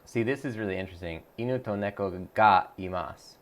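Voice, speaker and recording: Low gravelly man streaming casually. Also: fairly quick. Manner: rambling, thinks aloud with many fillers and restarts; reads viewer messages aloud and answers them. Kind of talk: casual live-stream chat while practicing a language app.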